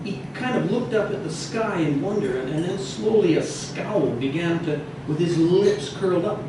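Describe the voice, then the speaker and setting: A man reading a humorous true story aloud as a storyteller, expressive, with some hesitant repeated words. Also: high voice, moderate pace. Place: echoey indoor bistro. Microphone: distant microphone in the room. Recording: roomy, echoey, noisy, distant mic.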